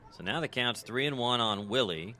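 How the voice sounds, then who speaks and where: An American man doing live radio play-by-play of a baseball game, in clipped descriptive phrases that turn conversational between pitches. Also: expressive, normal speed, pushed and bright. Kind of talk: live sports commentary.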